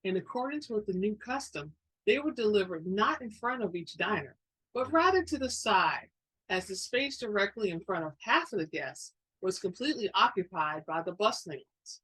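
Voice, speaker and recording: A woman's voice: mid-range voice, animated, normal speed, a bit bright, slightly rough, rough recording. A woman giving a dramatic reading of fiction as a storybook narrator. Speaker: audiobook-style reader.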